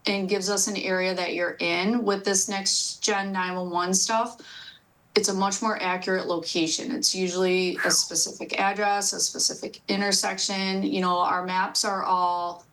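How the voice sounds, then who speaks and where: Female, American, medium pitch. Dispatcher in an interview, explaining how the work is done. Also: little pitch movement, moderate pace, a bit bright, poor audio. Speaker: police dispatcher being interviewed.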